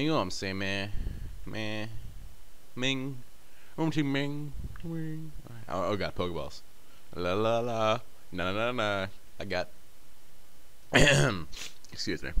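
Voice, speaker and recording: A young man with a stuffy nose, casually commentating a video game with crude jokes and excitable outbursts. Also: fairly low pitch, measured pace, pauses often, a little hiss or hum. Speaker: gameplay commentator. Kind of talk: casual let's play commentary.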